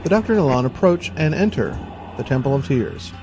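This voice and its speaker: A man narrating a scripted audio drama in a deliberate, dramatic manner. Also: mid-range voice, big pitch swings. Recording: voice under loud music.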